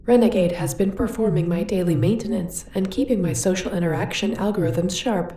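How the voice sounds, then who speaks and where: Woman as a distant, echoing, deadpan ship AI. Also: medium pitch, brisk, a little hiss or hum, lightly acted. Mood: formal, deadpan. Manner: formal, precise, deadpan. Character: polite, literal-minded female ship's artificial intelligence. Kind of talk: sci-fi audio roleplay acting. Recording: roomy echo, distant from mic.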